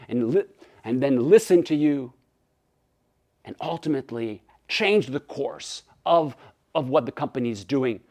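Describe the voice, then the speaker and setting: A man from Canada whose native tongue is French gives an animated webinar keynote, often restarting phrases and acting out dialogue. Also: mid-range voice. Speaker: keynote speaker. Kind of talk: webinar presentation.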